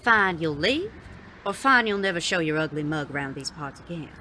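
A woman's voice: low voice, lively intonation, normal speed, pushed and bright, slight background noise. A woman playing a fiery, no-nonsense saloon owner in a Western audio drama, scolding in feisty frontier speech.

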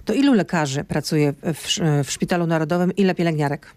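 A woman's voice: sits low, fast talker, dark tone, no echo. A woman, hosting a radio interview and asking direct, pressing questions. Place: radio studio. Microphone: studio microphone close in front.

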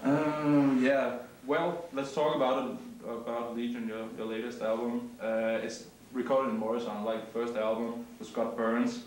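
A man's voice: medium pitch, flat delivery, measured pace, few pauses, slight background noise. Brief: young male interviewer, hesitant and filler-heavy, echoey room on a far camera mic. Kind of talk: casual interview questions. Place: cramped backstage room indoors.

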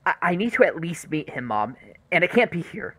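A man's voice: a little high, sing-song, fairly quick, a bit bright, no room sound, heavily acted. Man acting earnest, hesitant grown son. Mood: pleading, determined. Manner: earnest, hesitant, repeating words. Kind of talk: radio play acting.

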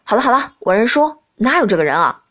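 A woman's voice: a little high, sing-song, quick pace, a bit bright. A woman voicing a flustered young princess who lives in a prince's body, hesitant and protesting, in an audiobook. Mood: exasperated. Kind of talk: audiobook character dialogue.

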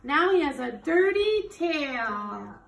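A woman's voice: high-pitched, sing-song, slow pace, gravelly. A woman in her thirties reading a picture book aloud to children like a teacher, encouraging them to join in, recorded on a phone in a living room. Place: living room at home, indoors. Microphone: phone camera from a few steps away. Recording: roomy echo, distant phone mic, background noise.

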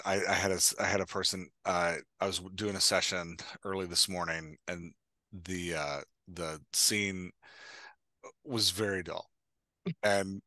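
Dry-sounding, low-pitched American man chatting. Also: sharp tone, dry recording. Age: middle-aged. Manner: informal, colloquial, joking. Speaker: podcast host and coach. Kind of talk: casual chat, posing questions. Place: room indoors on a video call. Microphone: headset.

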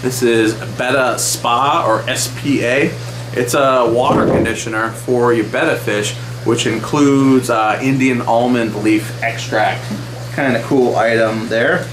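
A man's voice: medium pitch, fairly even intonation, measured pace, reverberant, over music, rough recording. A man in his thirties hosting an aquarium vlog, chatting casually and enthusiastically with frequent fillers, recorded in a small wood-paneled room close to the camera. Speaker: aquarium channel host.

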